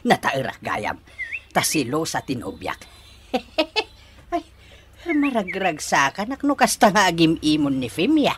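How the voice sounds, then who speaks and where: A man's voice: on the high side, wildly swooping pitch, a little slow, a bit bright, a little hiss or hum, full character voice. A man playing a giddy, boastful, lovestruck old father in a radio comedy drama, with exaggerated, giggly delivery. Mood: smug, amused.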